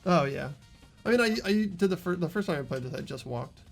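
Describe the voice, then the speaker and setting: Higher-pitched man hosting a game playthrough. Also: expressive, a little fast, gravelly. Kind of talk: casual gameplay chat with read-aloud narration. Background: game music.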